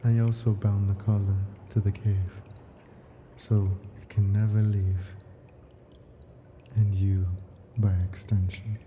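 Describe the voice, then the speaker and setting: A man playing an ancient, possessive and doting dragon in a slow, intimate ASMR audio roleplay, speaking in an unhurried, lordly, soft way. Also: on the low side, little pitch movement, slight background noise. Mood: smug, doting. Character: ancient male dragon, possessive, doting, wounded hoarder of treasures.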